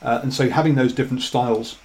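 A man hosting an interview, asking thoughtful questions with halting restarts. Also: medium pitch, fairly even intonation, darkish tone. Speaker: podcast host. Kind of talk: conversational interview.

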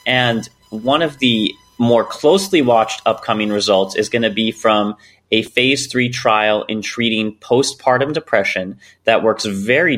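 A man's voice: medium pitch, a bit bright. A man co-hosting a science podcast, reading health news in a wry, self-deprecating way. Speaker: podcast co-host. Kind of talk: casual science news chat.

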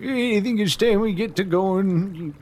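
A woman's voice: mid-range voice, unhurried, a little mellow. A woman improvising in character as a tabletop game player, blunt, folksy and slangy. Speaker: tabletop role-play player.

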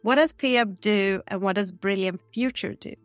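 A woman hosting an interview, reading scripted questions in a steady, measured way. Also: medium pitch, dry recording, faint music behind. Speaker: podcast interviewer. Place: Stockholm.

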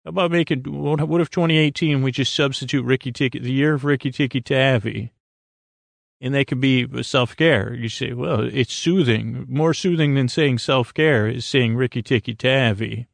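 A man hosting a sleep podcast, rambling gently and hesitantly through silly, goofy asides in a gravelly, creaky voice. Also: medium pitch, dry recording. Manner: meandering, hesitant, halting asides. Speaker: podcast host. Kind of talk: rambling bedtime monologue to help listeners sleep.

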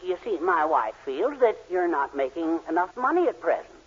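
A meek middle-aged husband in a 1940s radio sitcom. His voice sits on the high side and swings about as he hems and haws kindly. The old broadcast recording is dull and muffled. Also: sing-song, normal speed, breathy edge. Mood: apologetic, hesitant. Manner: hesitant, hemming and hawing.

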